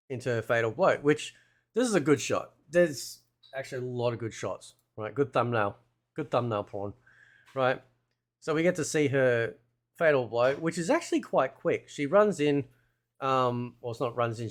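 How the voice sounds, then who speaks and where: A man giving casual, conversational gameplay commentary in a laid-back, slangy way, like a video game content creator. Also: medium pitch.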